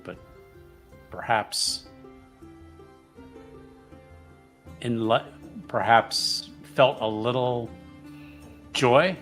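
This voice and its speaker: A man voicing a polite, tactful bearer of bad news in a tabletop roleplaying game, halting and careful. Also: on the low side, fairly bright tone. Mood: gentle, hesitant.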